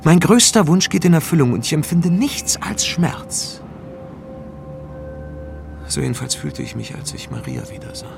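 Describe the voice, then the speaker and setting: Radio drama lead: male traveller, inward, disillusioned, gravelly fry, heavy music underneath. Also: fairly high pitch, huge pitch swings, moderate pace, pauses often, full character voice. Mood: bitter. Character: disillusioned, introspective male traveller on a bleak world. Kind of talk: radio drama inner monologue and dialogue. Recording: loud music bed behind voice.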